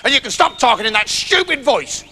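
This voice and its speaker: A man voicing a bossy old farmer's wife from a storybook, nagging in a sharp, no-nonsense way. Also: very high-pitched, big pitch swings, fairly quick, big-room sound, a little hiss or hum, pitched above the performer's own voice, heavily acted. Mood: scolding.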